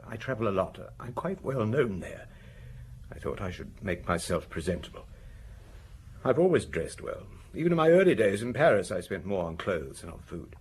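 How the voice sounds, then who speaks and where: A shaken, low-voiced husband in radio drama. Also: male voice, slight background noise. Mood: nervous, self-regarding. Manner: halting, anguished, defensive. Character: shaken husband, a vain well-dressed provincial, wife found murdered.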